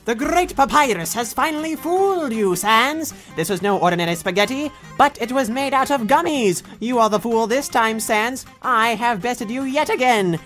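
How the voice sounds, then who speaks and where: A woman's voice: on the high side, expressive, moderate pace, few pauses, a bit bright. A woman voicing a proud, exasperated male skeleton cook in a comic dub, theatrical and boastful. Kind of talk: comic dub cartoon acting. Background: music.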